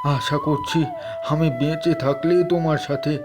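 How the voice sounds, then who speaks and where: Man acting a sly old beggar, higher-pitched, over music. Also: steady tone, measured pace, natural voice. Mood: pitiful, grateful. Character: sly old rich village man who begs in disguise. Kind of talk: Bengali cartoon story dialogue. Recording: music behind the voice.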